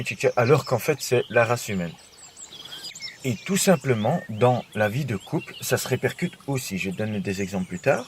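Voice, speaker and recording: A man of ordinary pitch, talking informally and directly to the listener in a self-help monologue. He circles back and repeats his phrases as he explains. Faint birds and outdoor sounds sit quietly behind him. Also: a little hiss or hum. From Belgium. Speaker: podcast host.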